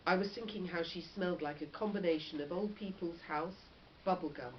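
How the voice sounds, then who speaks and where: A woman, a teacher reading a story aloud and putting questions to her class in an expressive, encouraging way. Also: on the low side, fairly quick. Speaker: primary school teacher. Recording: dull lo-fi recording with background noise.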